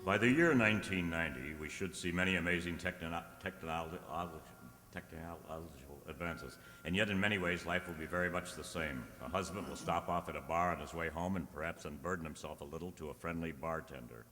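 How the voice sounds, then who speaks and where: A man's voice: low voice, fairly quick, sharp tone. A man playing a dramatic, portentous announcer for a 1950s science fiction radio show. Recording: echoey reverberant hall.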